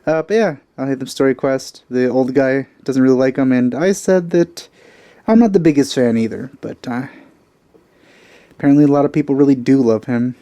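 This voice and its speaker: A man giving casual, low-key, rambling let's play commentary on a video game. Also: moderate pace, warm tone, dry recording. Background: faint game music.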